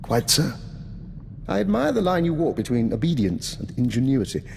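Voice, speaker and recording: An actor playing an urbane, calculating old doctor and veteran spy in a video game, with a refined, courteous, understated manner. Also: male voice, medium pitch, sing-song, moderate pace, creaky, darkish tone, in a large hall, a little hiss or hum. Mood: wistful, grave. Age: older. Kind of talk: video game dialogue acting.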